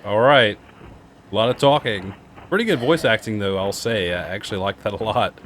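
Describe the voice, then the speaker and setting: Snuffly, low-voiced gaming host. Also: male voice, moderate pace, a bit bright, a little hiss or hum. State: snuffly from a cold. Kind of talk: casual let's-play commentary.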